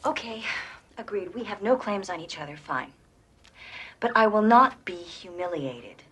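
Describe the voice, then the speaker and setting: An actress playing a proud, jilted woman in a television drama, husky and cuttingly sarcastic. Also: mid-range voice, expressive, unhurried, pushed and bright, slight background noise. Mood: indignant. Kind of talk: naturalistic television drama dialogue.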